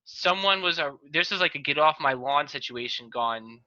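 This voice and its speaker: A man's voice: on the high side, expressive, normal speed, sharp tone, dry recording. A man hosting a podcast in casual chat over a video call, rambling and correcting himself as he goes. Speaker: podcast host. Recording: dull lo-fi video call audio, dry.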